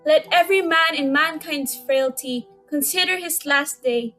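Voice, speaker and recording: A woman's voice: high voice, a little slow, sharp tone, faint music behind. A woman playing a solemn tragic chorus, declaiming to the citizens in a classical drama reading.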